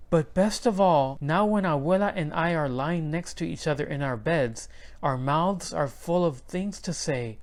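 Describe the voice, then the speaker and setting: A man reading a children's picture book aloud, in clear and expressive storybook narration. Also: fairly high pitch.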